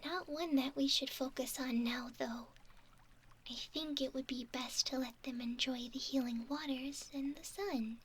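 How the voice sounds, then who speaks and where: A woman playing a sweet, curious, cautious young mermaid in a whispered ASMR roleplay, with a soft, breathy, girlish voice and a wondering, halting delivery. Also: sits high, fairly even intonation, slight background noise.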